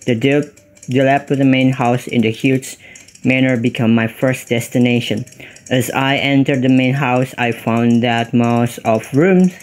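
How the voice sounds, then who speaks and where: A young man with an Indonesian accent doing casual, slangy game commentary and mixing in English phrases. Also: mid-range voice. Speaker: gaming YouTuber.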